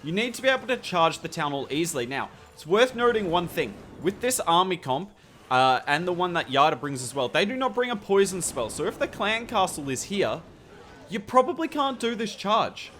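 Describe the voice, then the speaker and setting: A man commentating a strategy game over footage, enthusiastic and conversational, with a slightly hoarse voice. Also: high voice, sing-song, normal speed, a bit bright, faint music behind. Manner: enthusiastic, conversational, points things out to viewers. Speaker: gameplay commentator.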